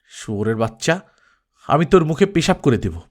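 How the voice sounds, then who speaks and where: A man acting out a loud, raging, drunk film star. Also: on the high side, sing-song, brisk. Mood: drunken rage. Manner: ranting, abusive, self-important. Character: drunk, furious, foul-mouthed male film star raging. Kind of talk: audiobook character acting.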